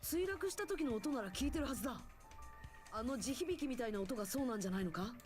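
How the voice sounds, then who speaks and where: A woman voicing a blunt, rough-spoken, exasperated teenage boy in a Japanese video game. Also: on the high side, lively intonation, very fast, close to an everyday voice. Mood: blunt, urgent. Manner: blunt, rough colloquial speech. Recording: game audio with loud music behind.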